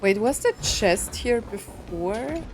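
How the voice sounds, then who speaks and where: Slow-paced woman doing casual game commentary. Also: mid-range voice, lively intonation. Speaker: game streamer playing through a story quest. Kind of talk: casual let's-play commentary. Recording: faint game music behind.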